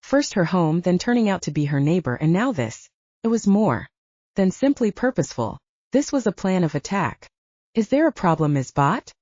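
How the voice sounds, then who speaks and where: A woman's voice: on the low side, big pitch swings, moderate pace, mellow, no echo, muffled recording. A woman reading romance fiction aloud as an audiobook narrator, in a scripted storytelling style.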